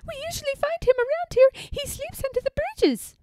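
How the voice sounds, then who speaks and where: A woman voicing an excitable, helpful little sparrow in a children's storybook, with a small piping voice. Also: very high-pitched, fairly quick, no echo, clean studio sound, voice pushed up. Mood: excited.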